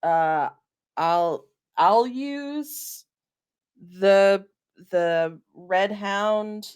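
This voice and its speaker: A woman's voice: medium pitch, drawn-out words, smooth. A woman playing a tabletop game, chatting casually and thinking aloud with some hesitation.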